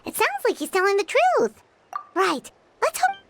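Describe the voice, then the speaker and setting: Squeaky little fairy companion, very excitable. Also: female voice, moderate pace, heavy background noise, full character voice. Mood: indignant, urgent. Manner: perky, exclamatory. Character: small excitable female fairy companion, childlike. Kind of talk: video game voice acting.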